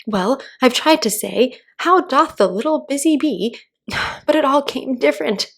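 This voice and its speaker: A polite storybook little girl, voiced by a woman, earnest and hesitant, quick to feel slighted. Her voice is pitched a bit up from plain narration, with a husky, creaky edge. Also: animated, a bit bright, heavily acted. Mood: timid, melancholy. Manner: proper and hesitant. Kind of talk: children's storybook read-aloud.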